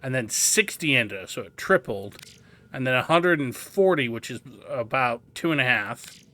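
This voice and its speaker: A man's voice: medium pitch, animated, moderate pace, bright, forceful tone. A man giving a casual game walkthrough, explaining things as he goes and thinking aloud. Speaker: gameplay guide host.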